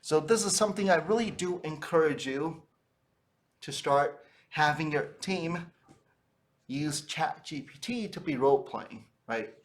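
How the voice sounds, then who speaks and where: A man's voice: a little high, expressive, measured pace. Man giving an informal, conversational training talk. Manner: conversational, frequently tags phrases with "right?". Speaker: sales trainer for funeral businesses. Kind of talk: informal instructional talk to camera.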